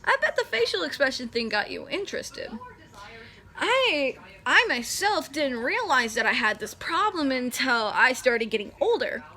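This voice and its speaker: A woman's voice: high-pitched, big pitch swings, moderate pace, bright, forceful tone. A nineteen-year-old woman talking casually to camera, rambling and correcting herself as she explains. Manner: rambling, self-correcting, chatty. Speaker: vlogger.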